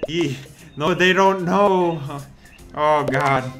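A man's voice: extremely slow, a bit bright. A man hosting a casual gaming session, playful and teasing, laughing often and chatting with friends over a headset mic. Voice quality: deep-sounding mic voice. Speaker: gamer host. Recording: game music behind.

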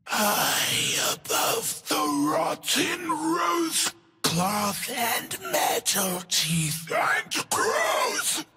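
A monstrous game scarecrow demon cries out in imitation of its terrified victims. The pitch leaps wildly, and the phrases come broken and very drawn out. It all rings in a long, cavernous reverb. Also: male voice, sing-song, creaky, pushed and bright, soft background music, exaggerated voice. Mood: eerie, menacing. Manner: slow, broken, theatrical outbursts. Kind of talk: video game character voice lines.

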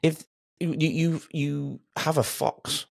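A man's voice: a little high, little pitch movement, slow pace, vocal fry, no room sound, clean studio sound. A middle-aged man, interviewing conversationally as a podcast host. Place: small room with shelves, indoors, on a video call.

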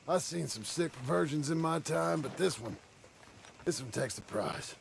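An actor playing a gruff outlaw who talks bluntly and mockingly. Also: male voice, fairly high pitch, animated, unhurried, slight background noise. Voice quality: gravelly, gruff.

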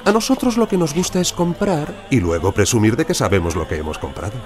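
Music plays behind an actor as a flattering American senator in an audio drama. The man speaks at medium pitch with lively, courteous intonation. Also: fast talker, lightly acted. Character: flattering, self-deprecating American senator, an impostor.

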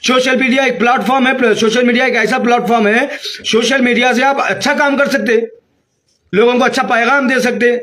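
A man in his fifties speaks with a Hyderabadi accent in a very high-pitched voice. He delivers an agitated statement to camera, scolding and repeating himself as he rants. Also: fairly even intonation, brisk, fairly bright tone. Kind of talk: angry rant to camera. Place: small room indoors.

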